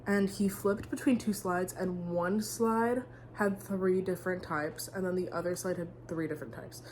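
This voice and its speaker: A woman's voice: lowish voice, steady tone, normal speed, a little mellow, a little hiss or hum. A young woman vlogging in casual, rambling chat, sniffly and full of "like". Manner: rambling, chatty, heavy use of "like".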